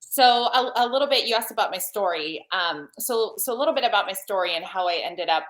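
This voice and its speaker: A woman in her forties from Serbia, a podcast guest telling her life story in an earnest, lively way, often restarting her phrases, over a video call. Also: mid-range voice, a little fast. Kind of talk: personal storytelling in a podcast interview. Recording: roomy video call audio.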